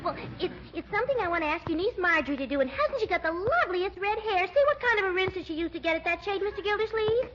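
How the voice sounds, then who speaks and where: Ditzy chatterbox neighbor girl, super high voice, gushing, old noisy 1940s radio. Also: expressive, quick pace, few pauses, a bit bright, dull audio. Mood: gushing, eager. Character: scatterbrained chatterbox young woman next door. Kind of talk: 1940s radio comedy acting.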